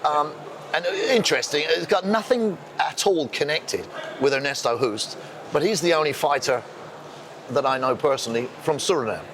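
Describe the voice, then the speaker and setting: A man's voice: a little high, expressive, moderate pace. A man hosting a fight interview show, jokey and chatty as he asks questions. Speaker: interviewer host. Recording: noisy background.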